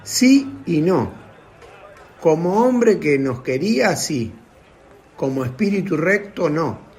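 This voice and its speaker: An earnest young disciple, firm in his answers. His pitch is lively and a touch high, and he keeps a slow, deliberate pace. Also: exaggerated voice.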